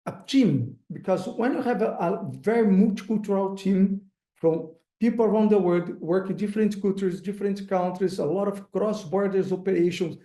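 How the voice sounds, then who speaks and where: A man with a Brazilian accent, Portuguese as his first language, giving a webinar talk as an investor, halting and pausing often with fillers. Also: high-pitched, dark tone, roomy sound, low-quality recording. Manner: halting, searching for words, frequent uh fillers. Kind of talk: webinar presentation.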